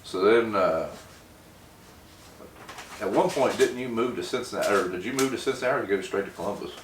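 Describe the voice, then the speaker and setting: Male host, American, folksy casual interviewer, quick delivery, slightly distant mic. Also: on the low side. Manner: informal, folksy. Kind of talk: casual chat, interviewing a friend. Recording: roomy, distant mic with faint noise.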